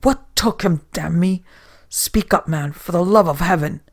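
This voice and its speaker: A narrator voicing a desperate boat captain who barks and shouts orders at his men. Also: medium pitch, little pitch movement, slow pace, vocal fry. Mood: frantic.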